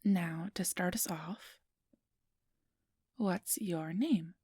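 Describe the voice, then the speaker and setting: A woman playing a friendly, easily flustered registration clerk in a soft-spoken ASMR roleplay, gentle, hesitant and reassuring. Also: on the low side, drawn-out words, husky, no room sound, studio quality, full character voice. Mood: soft, businesslike. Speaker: interviewer.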